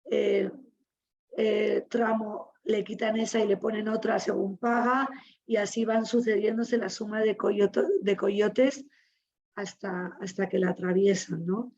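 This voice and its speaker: Woman moderator with a Spanish accent from Spain, reflective, halting delivery, dull lo-fi call audio. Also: mid-range voice, dark tone. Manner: reflective, searching for words. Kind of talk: webinar panel talk.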